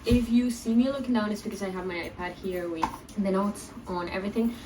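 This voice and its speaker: A young woman from the talks informally to camera in a medium-pitched voice, wandering through asides. She is recorded indoors at a slight distance from the mic, with clear storm noise behind her. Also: fairly even intonation, fairly quick. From Basque Country, Spain. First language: Basque. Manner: informal, rambling, frequent "like" asides. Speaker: vlogger. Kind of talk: casual chat to camera. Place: indoors at home, under a skylight. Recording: distant mic, clearly noisy room, rainstorm. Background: storm rain noise.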